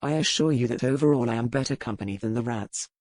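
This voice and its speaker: A woman's voice: extremely low pitch, fast, a little mellow, no echo, voice pushed down. A woman voicing a curt, proud, menacing pirate lord with formal, archaic phrasing in an audiobook. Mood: cold menace. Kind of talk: audiobook character dialogue.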